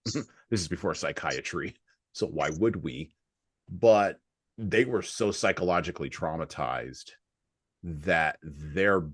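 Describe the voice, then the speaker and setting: A man's voice: very deep voice, fairly bright tone, no room sound, studio quality. An American man hosting a conversational book discussion, emphatic, repeating phrases for effect and posing rhetorical questions. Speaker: podcast host.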